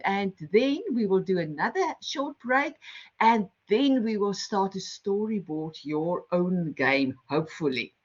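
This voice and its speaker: Middle-aged South African woman facilitator on a muffled video call, friendly and explanatory. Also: mid-range voice. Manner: friendly, explanatory, with frequent ums and restarts. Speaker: workshop facilitator. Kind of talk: online workshop presentation. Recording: slightly dull online call audio.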